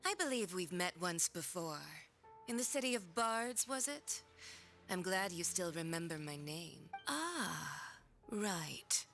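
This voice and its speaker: A woman's voice: on the low side, expressive, slow, fairly bright tone, faint music behind, full character voice. An actress playing a haughty, sardonic female villain in a video game, mocking, condescending and coldly commanding. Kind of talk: video game cutscene acting.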